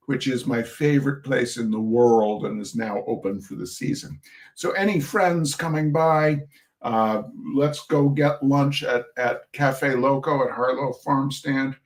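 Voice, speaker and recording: Slow, very animated man reading aloud. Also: a little high. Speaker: reader of short humorous pieces. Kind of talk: informal live reading of comic essays with chatty asides.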